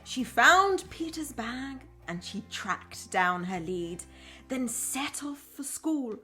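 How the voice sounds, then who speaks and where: A woman primary school teacher reading a story aloud to young children in an enthusiastic, expressive way, chatting to them between lines. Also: high voice, takes it slowly, faint music behind. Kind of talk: children's storytime read-aloud with asides to the audience.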